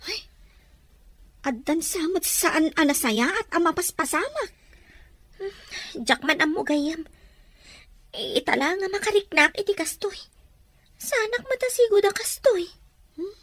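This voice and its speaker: A woman's voice: extremely high pitch, a bit bright, no echo, exaggerated voice. A woman playing a hurt, guarded young woman in an audio drama.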